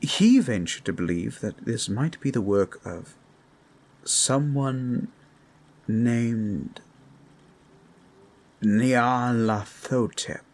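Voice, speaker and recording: A man voicing a calm, scholarly fantasy adventurer, explaining things formally and deliberately in a roleplay audio drama. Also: mid-range voice, heavily acted. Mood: grave, explanatory.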